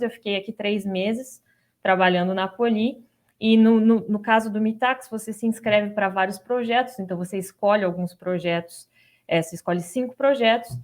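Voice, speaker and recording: A young adult woman with a Brazilian Portuguese accent giving an informal personal account as a guest, repeating words for emphasis. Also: mid-range voice. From São Paulo state, Brazil. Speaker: guest, doctoral student.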